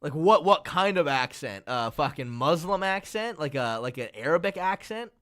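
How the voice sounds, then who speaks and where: A man's voice: on the high side, lively intonation, moderate pace, a bit bright. A man hosting a live stream, chatting casually and rambling to his viewers. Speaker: streamer host.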